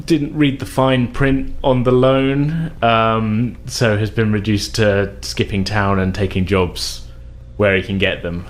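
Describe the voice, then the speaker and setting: Male tabletop player, lowish voice, loose rambling delivery with stops and starts, faint noise behind him. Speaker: player in a tabletop role-playing game. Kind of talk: casual improvised storytelling at a game table.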